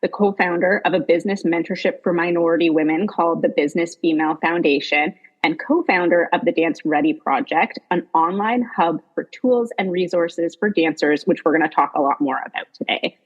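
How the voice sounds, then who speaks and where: A woman speaks as the host of an interview podcast. Her voice sits a little low and has a husky edge. She introduces and questions with an enthusiastic manner. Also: fairly quick, few pauses, dull audio.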